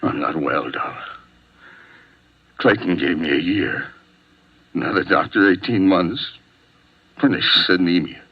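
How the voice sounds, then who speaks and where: An actor playing a hot-tempered, jealous and desperate husband on the run, intense and menacing or pleading, in 1950s radio drama style. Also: male voice, low-pitched, measured pace, long pauses, husky. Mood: bitter, weary. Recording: dull, lo-fi old radio recording.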